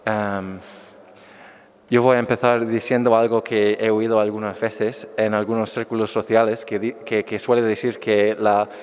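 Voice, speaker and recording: A man's voice: lowish voice, level tone, normal speed, clear, resonant tone. A man answering audience questions after his lecture, hesitant, with many fillers and restarts. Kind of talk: academic talk. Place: lecture hall with an altar. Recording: echoey hall.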